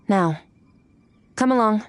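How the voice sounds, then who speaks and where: A woman voicing an aloof, powerful swordswoman in a game, speaking in a cool, curt and measured way. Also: mid-range voice, slight background noise. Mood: stern. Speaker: game character.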